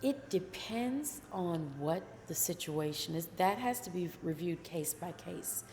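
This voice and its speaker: A woman with a soft, somewhat low voice gives careful, measured advice as a co-host of a relaxed dating talk. Her voice carries a lingering reverb. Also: a little hiss or hum. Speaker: podcast co-host. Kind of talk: casual dating chat. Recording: long reverb.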